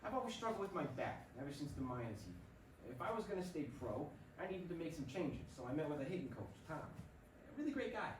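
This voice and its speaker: A man acting an earnest young baseball rookie with a halting, stammering delivery in a staged play reading. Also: fairly high pitch, very animated. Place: stage before a room, far microphone. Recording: echoey distant stage recording with noise.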